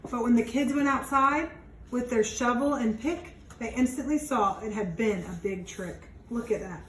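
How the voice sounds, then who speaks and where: A woman with a fairly high voice reads a picture book to children, adding simple explanations as she goes. She sounds slightly away from the mic in a roomy, echoey space. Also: normal speed. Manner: simple explanatory asides to young listeners. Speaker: teacher reading aloud to children. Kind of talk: children's storybook read-aloud with explanations. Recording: roomy, distant mic, faint background noise.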